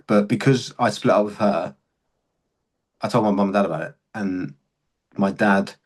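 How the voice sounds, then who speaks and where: A man's voice: fairly low pitch, normal speed. A young man, a podcast guest and serving soldier, telling candid stories in a casual chat and swearing freely.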